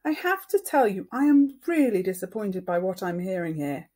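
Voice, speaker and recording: A firm storybook mum of two boys, as a woman reader plays her. She speaks earnestly and emphatically, with a slightly husky voice. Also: mid-range voice, very animated, moderate pace, mellow. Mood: stern, disappointed.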